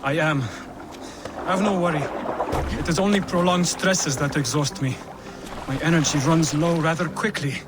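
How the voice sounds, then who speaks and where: Male actor as earnest young assassin acolyte, highish voice, measured, courteous, solemn, game drama, noisy ambience behind. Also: natural voice. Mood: measured, weary. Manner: formal, measured, solemn speech. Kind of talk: dramatic video game acting.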